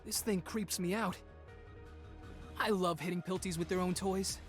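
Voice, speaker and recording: An actor playing a cocky, angry teenage street inventor who controls time, with brash, taunting game voice lines. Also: male voice, sits high, steady tone, normal speed, soft background music, natural voice. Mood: wry confident. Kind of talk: video game character voice lines.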